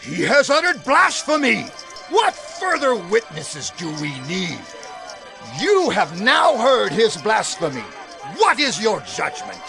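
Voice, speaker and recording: Creaky, wildly dramatic high priest. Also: male voice, wildly swooping pitch, exaggerated voice. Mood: outraged. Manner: theatrical, accusing, drawn-out words. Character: stern, outraged high priest demanding answers. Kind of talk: dramatised audio Bible acting. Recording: loud dramatic music behind.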